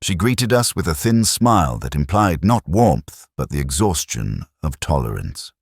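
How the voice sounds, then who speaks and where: A man playing a brooding, literary police inspector who narrates his case in a measured, reflective, melancholic way, as in a scripted audio drama. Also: very deep voice, big pitch swings, creaky, dry recording, studio quality, full character voice. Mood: reflective, sombre.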